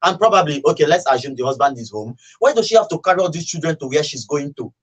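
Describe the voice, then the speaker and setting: A man's voice: on the high side, quick pace, slightly rough. A man with a Nigerian accent in a casual, opinionated radio discussion, telling stories and acting out what people say. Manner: animated storytelling, acting out quoted remarks. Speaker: radio talk show speaker.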